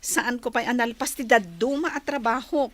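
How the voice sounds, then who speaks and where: A woman's voice: fairly high pitch, normal speed, fairly bright tone, close to an everyday voice. An actress playing a talkative, teasing young woman who works in an office, in an Ilocano radio drama. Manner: teasing, chatty.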